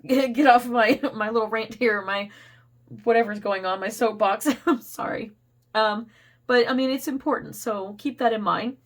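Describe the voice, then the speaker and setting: A woman giving a casual, rambling tarot reading, laughing often and losing her voice to a cold. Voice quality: getting squeaky. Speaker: tarot reader.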